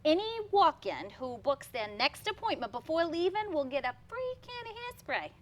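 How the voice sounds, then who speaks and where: A woman's voice: sits high, normal speed, slight background noise. A woman playing a playful, teasing 1950s hairdresser wife in a conversational staged play reading. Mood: chatty, playful. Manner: teasing, expressive.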